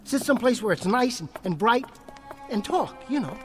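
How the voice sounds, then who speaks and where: Jittery, haunted ventriloquist. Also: male voice, extremely high pitch, animated, moderate pace, soft background music. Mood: nervous, coaxing. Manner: nervous, stammering, insistent. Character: jittery, haunted male ventriloquist pleading for company. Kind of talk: radio play acting.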